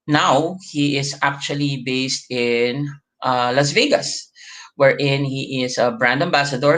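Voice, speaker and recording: A man hosting an online livestream interview, talking in a halting way with many fillers and restarts. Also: fairly high pitch, bright, forceful tone, low-quality recording. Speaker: host.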